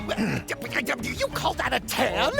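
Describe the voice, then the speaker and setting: An actor voicing a vain, bossy cult villain who scolds theatrically in an animated game cutscene. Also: male voice, very high voice, drawn-out words, gravelly, exaggerated voice. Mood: indignant scolding. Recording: music behind the voice.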